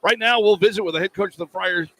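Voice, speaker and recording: A man's voice: high-pitched, fast, a bit bright. A man working as a play-by-play sportscaster, giving live pre-game commentary on sports radio. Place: courtside in a sports arena. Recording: crowd noise of an arena. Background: arena crowd.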